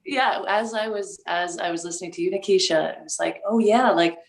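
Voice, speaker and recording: A woman's voice: fairly low pitch, fairly quick, creaky, echoey room. A woman who is a guest on a radio talk show, bubbly and enthusiastic, laughing often. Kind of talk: casual radio chat.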